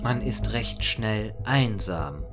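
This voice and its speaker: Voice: medium pitch, animated, takes it slowly, music in the background. A performer voicing an immortal, mischievous chaos creature in a theatrical fan audio monologue, mock-formal, sardonic and playfully rambling. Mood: musing, wry. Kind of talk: theatrical first-person character monologue in a fan audio story.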